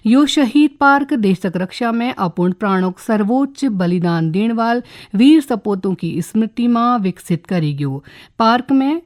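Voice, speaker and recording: A woman with a Kumaoni accent reading a scripted regional radio news bulletin in a formal, measured announcer's manner. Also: on the high side, animated, a little mellow. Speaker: radio newsreader.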